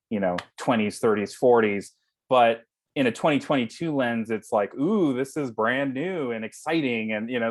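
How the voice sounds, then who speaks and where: Lower-pitched man explaining things casually. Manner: conversational, explanatory. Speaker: guest, writer-director. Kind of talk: casual interview answers. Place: video call.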